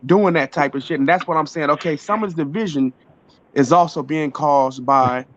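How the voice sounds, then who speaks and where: A man calling into a debate, measured and insistent about getting to finish his point. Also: on the high side, dull audio, a little hiss or hum. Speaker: guest on a call. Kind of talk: casual debate.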